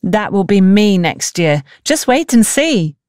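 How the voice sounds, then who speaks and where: A woman's voice: medium pitch, big pitch swings, unhurried, darkish tone, dry recording, clean studio sound. A woman voicing an ambitious, chatty teenage village girl in an audiobook story. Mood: eager, hopeful. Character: eager, ambitious village teenage girl, Neka, dreaming of rich husband. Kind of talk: audiobook character dialogue.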